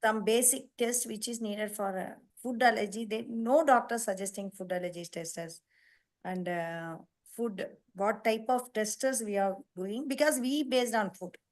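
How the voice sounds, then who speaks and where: A woman hosting a nutrition program on a video call, talking in a halting, repetitive way with emphatic lists. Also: medium pitch. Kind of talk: casual online meeting talk.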